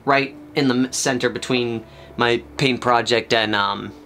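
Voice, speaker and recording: A young man casually talking through painting a miniature, chatty and rambling, with a loud projected voice, in a small room at home. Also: mid-range voice, steady tone, unhurried, slight background noise. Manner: rambling, chatty, many fillers. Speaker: miniature painting channel host. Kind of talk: casual tutorial commentary while painting.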